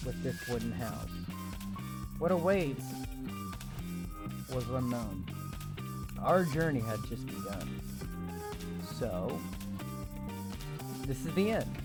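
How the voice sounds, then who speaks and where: A man reading a visual novel aloud, adding casual asides and stumbling over words. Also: mid-range voice. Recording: game music playing under voice.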